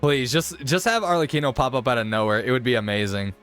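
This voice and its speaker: A man's voice: medium pitch, expressive, quick pace, fairly bright tone. A man streaming a game with casual, chatty commentary, reacting to the dialogue in a self-deprecating way. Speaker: gaming streamer. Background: faint game music.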